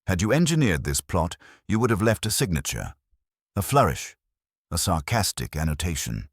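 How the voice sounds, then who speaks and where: A man voicing an imperious, erudite detective in an audiobook, speaking in formal, deliberate pronouncements. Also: sits low, big pitch swings, breathy edge, no echo, clean studio sound, exaggerated voice. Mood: lecturing, exacting. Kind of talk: detective audiobook character dialogue.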